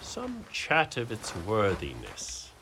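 Guy playing jealous warrior husband, deep voice, slow and swoopy, old shakespeare style radio play. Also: huge pitch swings, gravelly, background noise, heavily acted. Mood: brooding, ominous. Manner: slow, declamatory archaic delivery. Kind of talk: amateur Shakespearean radio drama acting.

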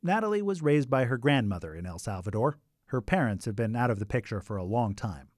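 A man's voice: mid-range voice, big pitch swings, a little fast, a little hiss or hum. A man narrating a radio documentary in a scripted but conversational way, with wry asides.

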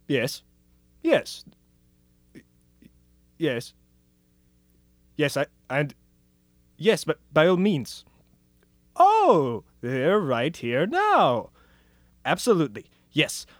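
A man's voice: a little high, dry recording. A man playing a pompous Soviet office manager, self-important and unctuous, in a comic radio play. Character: pompous male Soviet office manager, menacing turned fawning.

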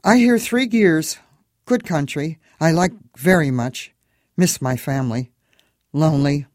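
A man's voice: on the high side, measured pace, a little mellow, no room sound, studio quality, close to an everyday voice. A man voicing a chatty, kind male cab driver from Moldova, with a Moldovan accent and clipped broken English. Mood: friendly.

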